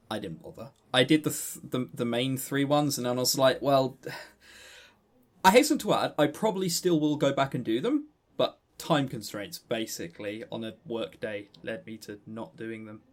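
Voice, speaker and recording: English male podcast co-host, medium pitch, casual and rambling, stumbles and repeats words. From England. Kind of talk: casual discussion and review.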